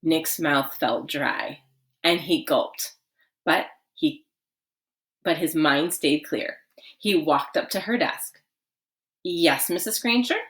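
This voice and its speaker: A woman reading a children's story aloud expressively, with dramatic pauses and emphasis. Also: deep voice.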